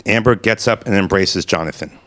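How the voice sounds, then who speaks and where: Low voiced guy reading stage directions, flat and matter of fact. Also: a little fast.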